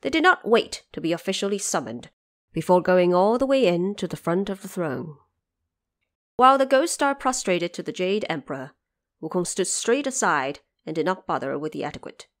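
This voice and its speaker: A woman's voice: on the low side, animated, normal speed. A woman narrating an audio drama in a scripted, dramatic storytelling style.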